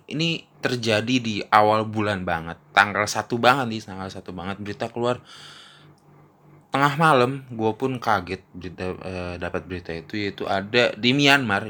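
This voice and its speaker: A man's voice: lowish voice, bright, forceful tone. A young man hosting a casual solo podcast, rambling and slangy, recording on a phone while nursing a mouth ulcer. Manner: slangy, rambling, laughs often. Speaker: podcast host.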